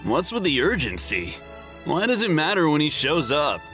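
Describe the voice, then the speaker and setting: A man's voice: a little high, wildly swooping pitch, moderate pace. A man voicing a defensive young man in a game, talking in a brusque, defensive way. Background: game music.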